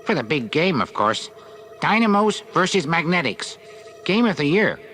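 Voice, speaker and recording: A man's voice: on the high side, sing-song, moderate pace, bright, forceful tone, a little hiss or hum, voice pushed up. A man voicing a furtive, wheedling little ticket scalper in a storybook, sly and salesman-like.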